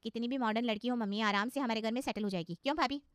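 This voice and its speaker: A woman's voice: on the high side, rapid-fire, smooth, dry recording, studio quality, natural voice. A woman voicing a pretentious, breezy young sister-in-law in a comic cartoon story. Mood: confident. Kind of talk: cartoon comedy story dialogue.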